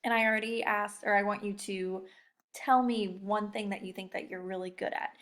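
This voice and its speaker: A young woman teacher chatting casually and encouragingly to children, often putting questions straight to her listeners. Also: medium pitch. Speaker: teacher leading a read-aloud lesson.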